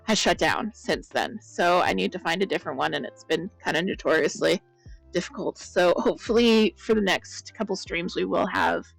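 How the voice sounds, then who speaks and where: A woman chatting casually with viewers as the host of a crafting stream, her voice a bit croaky. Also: fairly low pitch, normal speed, no room sound, faint music behind, poor audio. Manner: chatty, rambling, greets viewers by name. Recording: loud blown-out mic.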